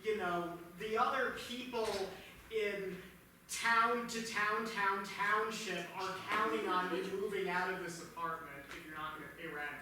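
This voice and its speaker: A man's voice: high voice, measured pace. An improviser playing a whiny man with a childlike voice, on a live comedy stage. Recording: echoey room, far mic, noisy.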